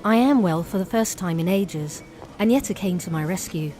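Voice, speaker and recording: A woman playing a kind, grateful tavern keeper in an audio drama, gentle and sincere. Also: fairly low pitch, animated, normal speed, soft background music, natural voice, rough recording. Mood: friendly, relieved.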